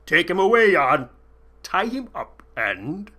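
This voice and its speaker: A man voicing a cruel, scheming male desert sheik with a booming, mocking delivery. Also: sing-song, moderate pace, sharp tone. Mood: menacing.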